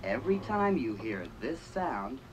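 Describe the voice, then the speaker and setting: Man, children's read-along narrator, very slow, lilting, funky synth music behind. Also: medium pitch, big pitch swings, highs cut off, very noisy. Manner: slow and clear for young children. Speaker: storybook narrator.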